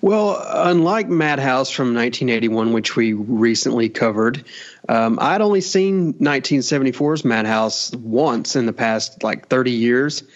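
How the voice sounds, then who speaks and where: A man's voice: mid-range voice, expressive, measured pace. A man co-hosting a podcast, thoughtfully sharing his view of a film. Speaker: podcast co-host. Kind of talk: casual film review chat. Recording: dull lo-fi audio.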